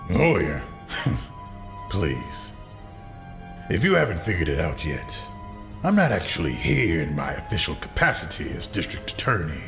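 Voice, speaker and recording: Male, very deep and swooping actor as sardonic crime-boss lawyer, mock-polite menace, radio play over music. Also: huge pitch swings, a little slow, long pauses, full character voice. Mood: smug menace. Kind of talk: audio drama acting.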